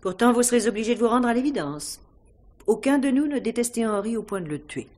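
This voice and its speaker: An actress playing a cool, composed widow and theatre owner in a French radio mystery play, speaking with formal, poised politeness. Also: female voice, a little high, lively intonation, quick pace, darkish tone. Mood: cool, firm.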